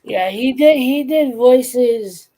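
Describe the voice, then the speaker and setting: A woman's voice: sits high, slow, warm tone, a little hiss or hum. A woman co-hosting a casual chat, telling a story in a rambling way that trails off and restarts. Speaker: podcast co-host.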